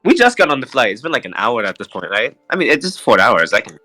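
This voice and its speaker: Animated, bright-voiced man gaming. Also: mid-range voice, fast talker, no echo, faint music behind. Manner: animated, informal, swearing. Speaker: tabletop game player. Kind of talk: casual game chat.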